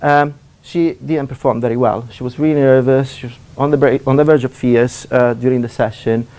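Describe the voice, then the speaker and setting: A man from Italy, speaking English with an Italian accent, giving a seminar talk as a university lecturer; he talks fast with many fillers and restarts. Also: mid-range voice, fairly even intonation, darkish tone.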